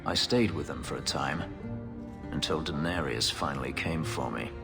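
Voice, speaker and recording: Deep, grave ex-slave elf warrior; controlled, clipped; reverberant game audio with music. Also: male voice, normal speed, gravelly. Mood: wry. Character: brooding elven male ex-slave warrior, bitter. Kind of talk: video game voice acting.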